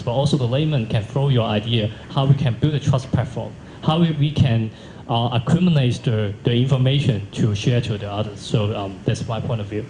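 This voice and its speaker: A man with a Hong Kong accent speaking as a panelist, halting and repeating words in non-native phrasing. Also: medium pitch. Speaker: panelist from a cloud service provider. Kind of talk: panel discussion remarks. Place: multi-purpose function room at a conference. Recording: echoey function room, faint background noise.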